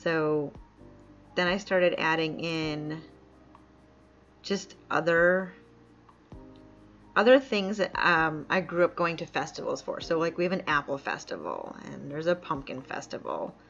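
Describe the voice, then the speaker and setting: A chatty woman streaming a game, talking loosely and laughing often. Her voice sits a little low and comes through a dull, lo-fi mic. Faint music plays under her. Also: lively intonation, measured pace, gravelly, pauses often, fairly bright tone. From upstate New York. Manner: chatty, laughs often, reads chat aloud. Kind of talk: casual live-stream chat while playing.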